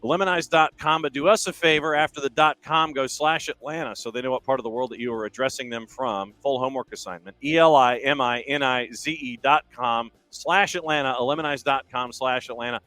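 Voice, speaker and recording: A man's voice: medium pitch, brisk, hardly any pauses, bright, forceful tone, no room sound. A male sports talk host with a mid-range voice and a wordy style that wanders into asides. He is recorded close and dry, with a music bed faintly behind him. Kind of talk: casual sports talk and live-read sponsor spots. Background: background music.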